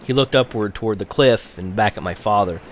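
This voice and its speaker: A man reading a novel aloud as an audiobook narrator, in a measured storytelling manner. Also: fairly low pitch, slight background noise. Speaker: audiobook reader.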